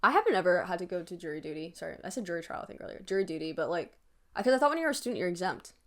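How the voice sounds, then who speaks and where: A woman's voice: on the low side, big pitch swings, racing. A young woman co-hosting a podcast in casual chat, informal and hedging as she thinks aloud. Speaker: podcast co-host.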